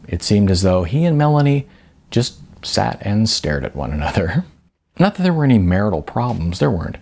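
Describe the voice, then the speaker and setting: A man with a husky voice, an author reading their own novel aloud in an expressive storytelling manner. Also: lowish voice. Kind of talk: audiobook-style narration of prose.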